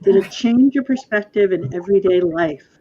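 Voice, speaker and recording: A woman's voice: lively intonation, normal speed, warm tone, husky. A woman moderating a discussion on a video call, putting questions in a casual way. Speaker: moderator of a post-show discussion. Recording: dull, low-fidelity video call.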